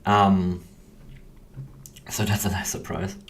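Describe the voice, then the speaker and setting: A man's voice: deep voice, level tone, takes it slowly, vocal fry, slight background noise. A young man of about twenty with an Austrian German accent, chatting casually and hesitantly on a livestream, rambling and interrupting himself. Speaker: game developer hosting a livestream. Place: indoors at a computer desk.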